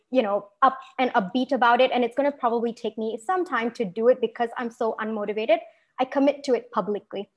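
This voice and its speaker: Young woman from singapore, kinda high voice, chatty and laughing a lot, keeps restarting her sentences. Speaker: guest entrepreneur. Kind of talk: conversational podcast interview.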